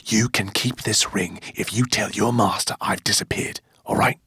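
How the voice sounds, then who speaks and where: A man playing a plucky, quick-witted, gentlemanly older professor who speaks urgently, often in a whisper. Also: lowish voice, no room sound, clean studio sound, pitched above the performer's own voice, natural voice. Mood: urgent bargaining.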